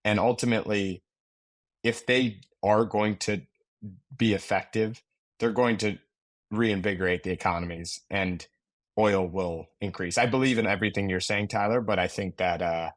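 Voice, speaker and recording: A man co-hosting a casual market discussion, measured and conversational. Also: on the low side, fairly even intonation, no room sound. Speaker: podcast co-host.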